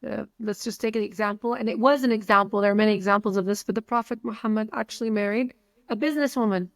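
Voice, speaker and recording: A woman's voice: medium pitch, quick pace, dry recording. Frank Palestinian-accented woman in her forties. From Saudi Arabia. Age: 40s. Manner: frank, repeats words when starting a thought. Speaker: guest. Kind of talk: casual interview talk.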